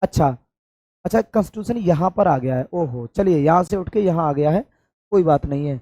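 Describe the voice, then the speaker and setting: A hoarse man from India, teaching an exam-prep lesson and stressing points by repeating them. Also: a little high, expressive, brisk, warm tone, no room sound. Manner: addresses students affectionately, emphatic repetition. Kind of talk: classroom-style exam coaching lecture.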